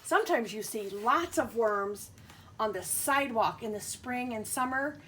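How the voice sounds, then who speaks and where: Bright-voiced, slow-paced woman teaching children. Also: a little high, animated, slight background noise. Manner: simple explanations and questions aimed at young children. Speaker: schoolteacher reading aloud to pupils. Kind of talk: picture-book read-aloud with asides to children.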